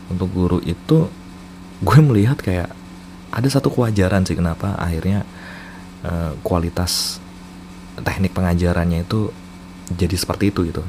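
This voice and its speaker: A man's voice: deep voice, mellow, slight background noise, rough recording. A man with a Jakarta Indonesian accent telling personal stories casually, slipping into English and slang, in a self-mocking way. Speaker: storyteller host. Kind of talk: casual personal storytelling with English code-switching.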